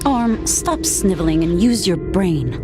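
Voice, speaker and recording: A woman's voice: lowish voice, sing-song, measured pace, music in the background. A woman playing a proud warrior queen in a video game, firm and imperious in manner. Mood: resolute. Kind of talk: video game cutscene acting.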